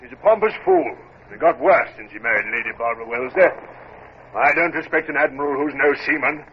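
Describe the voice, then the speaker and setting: A man's voice: medium pitch, brisk, close to an everyday voice. A man acting a grumbling but convivial senior British naval captain in a radio drama, English-accented, chatty and hesitant. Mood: grumbling, scornful. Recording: dull old radio recording, faint noise.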